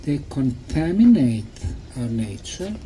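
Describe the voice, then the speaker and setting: A man, a native Bosnian speaker, giving a talk to a group in short, simple phrases with pauses for an interpreter. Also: mid-range voice, big pitch swings, mellow, a little hiss or hum. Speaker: speaker, tour guide.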